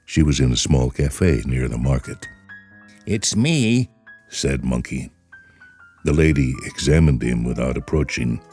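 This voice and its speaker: A man reading a story aloud in a measured, expressive way. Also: extremely low pitch. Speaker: narrator. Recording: music bed under the voice.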